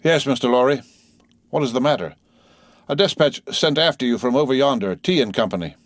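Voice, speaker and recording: A man voicing a hoarse, blunt messenger on horseback in a storybook. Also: medium pitch, a little fast, dry recording, studio quality, pitched above the performer's own voice, close to an everyday voice. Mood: insistent.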